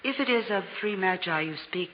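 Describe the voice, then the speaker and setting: A woman's voice: medium pitch, animated, brisk, close to an everyday voice. A noisy, echoing old radio recording carries a woman acting a village mother. She speaks in formal biblical phrases, as if telling a tale, through a muffled sound. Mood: calm, kindly. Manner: formal, storytelling biblical speech. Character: gentle village mother of Bethlehem with young child. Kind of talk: 1940s radio drama acting.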